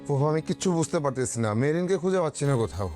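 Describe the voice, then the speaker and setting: Distraught young man, Bangladeshi accent, TV drama, rapid-fire, animated pitch. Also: soft background music. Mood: panicked. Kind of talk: TV drama acting.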